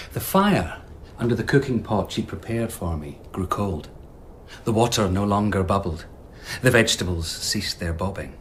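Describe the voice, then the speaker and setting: Brief: sly wandering storyteller, grand and teasing, faint ambient noise. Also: male voice, lowish voice. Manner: grand, playful storyteller's delivery. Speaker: vagabond storyteller. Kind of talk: BBC radio drama acting.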